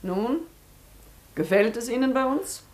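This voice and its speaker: A woman's voice: fairly high pitch, measured pace, slight background noise, lightly acted. An actress in a German radio play playing a strict, businesslike female sanatorium staff member. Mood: brisk, strict.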